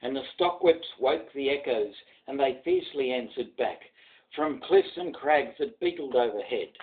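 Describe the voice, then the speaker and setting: Lively Australian man reciting, muffled sound. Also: mid-range voice, moderate pace. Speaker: poetry reader. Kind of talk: dramatic poetry recitation.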